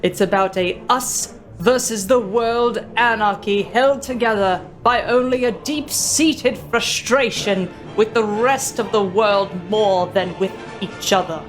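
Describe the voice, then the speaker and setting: A woman giving a solemn, measured dramatic reading of a manifesto over choral music. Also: medium pitch. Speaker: reader. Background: dramatic orchestral choral music.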